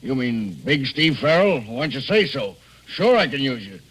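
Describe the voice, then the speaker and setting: An actor playing a plain-spoken, businesslike salvage ship captain in 1940s radio style. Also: male voice, mid-range voice, very animated, normal speed, fairly bright tone, husky, low-quality recording.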